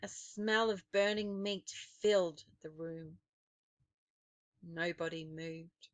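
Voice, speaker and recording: A woman narrating a children's novel aloud in a deliberate, expressive, dramatic storytelling style. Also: lowish voice, dry recording. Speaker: storybook reader.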